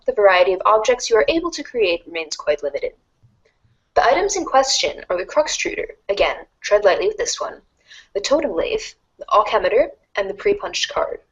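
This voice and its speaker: A woman's voice: mid-range voice, breathy edge, rough recording. A woman playing a wry, erudite teenage girl who reads out a game guide in a formal, precise, dryly instructive way, as storybook narration. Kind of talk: scripted read-along storybook narration.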